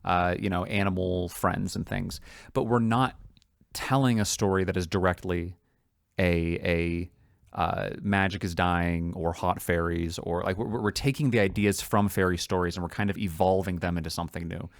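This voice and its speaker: Low-voiced podcast co-host brainstorming out loud. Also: steady tone, moderate pace, dry recording, clean studio sound. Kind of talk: casual creative brainstorming chat.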